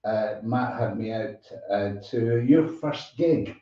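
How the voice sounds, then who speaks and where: A man's voice: on the low side, slow pace, warm tone. A man hosting a casual live interview chat in an easygoing, rambling way. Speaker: show host. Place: indoors at a musician's home. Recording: roomy, distant mic, dull sound.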